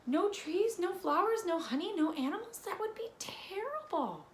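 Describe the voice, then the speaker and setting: A woman reading a children's storybook aloud expressively, with deliberate phrasing and playful emphasis. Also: extremely high pitch, sing-song. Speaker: read-aloud presenter. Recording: echoey room with faint noise.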